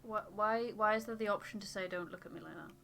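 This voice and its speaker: A woman's voice: mid-range voice, quick pace, creaky, a bit bright. A woman co-hosting a game playthrough, speaking in a casual, hesitant, conversational way. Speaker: video game playthrough co-host. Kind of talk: casual reactions mixed with acted game dialogue.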